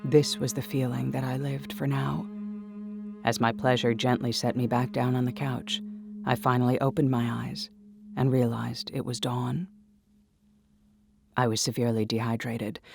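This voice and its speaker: A man delivering dramatic first-person scripted story narration in an intense, deliberate manner. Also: medium pitch, gravelly, no room sound. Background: music.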